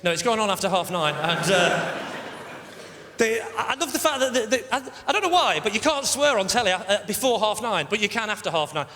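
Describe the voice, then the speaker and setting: A man from the north of England doing deadpan stand-up comedy, breaking into loud shouted voices. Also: sits high, fairly quick. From Lancashire, England. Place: large theatre stage. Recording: roomy hall with loud audience. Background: loud audience laughter.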